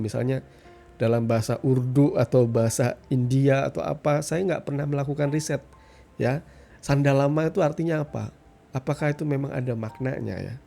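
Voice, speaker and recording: Gravelly, mellow man preaching informally. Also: medium pitch. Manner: conversational teaching, frequent tag questions and pauses for emphasis. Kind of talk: informal sermon, Bible reflection.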